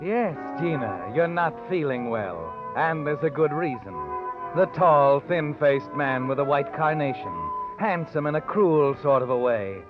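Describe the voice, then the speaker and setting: A man's voice: on the high side, animated, a little slow. An actor playing a knowing, taunting narrator in 1940s radio suspense style, needling a guilty woman. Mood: taunting, ominous. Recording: dull old radio recording, music behind.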